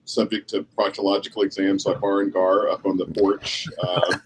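Low-voiced man over background noise. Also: flat delivery, moderate pace, low-quality recording. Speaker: podcast panelist. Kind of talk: casual chat. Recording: clear background room noise.

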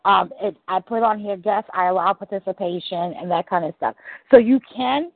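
A husky host chats loosely and excitably, full of fillers and swearing. The sound is thin and phone-like, with no room around it. The voice sits at a middle pitch. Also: normal speed, dry recording. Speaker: podcast host. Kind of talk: casual call chat. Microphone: call audio. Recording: tinny phone-like call audio, dry.

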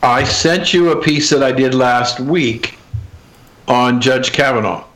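A man's voice: mid-range voice, slow, fairly bright tone, a little hiss or hum, rough recording. A man hosting an interview, asking questions hesitantly and restarting them. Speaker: interview host.

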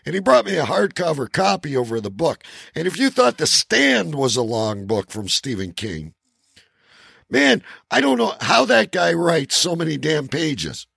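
A man with a deep, gravelly voice co-hosts a casual talk show. His pitch swings wildly as he rambles and halts, leaning into words theatrically. The sound is husky and dry, close with no room. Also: very animated, moderate pace, fairly bright tone, no echo. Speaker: podcast co-host. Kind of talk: casual chat.

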